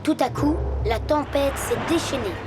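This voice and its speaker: A woman's voice: sits high, moderate pace, heavy background noise, lightly acted. A woman voicing an earnest, imaginative young boy hippo in a French children's cartoon, theatrical and serious in delivery. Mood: dramatic storytelling.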